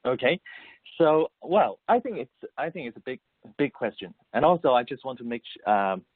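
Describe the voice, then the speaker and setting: A man's voice: mid-range voice, big pitch swings, husky, no room sound. Man with a Mandarin Chinese accent on a tinny phone line. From Beijing, China. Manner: halting, repeats words while searching for English phrasing. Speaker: guest composer and musician. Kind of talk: interview answers over the phone.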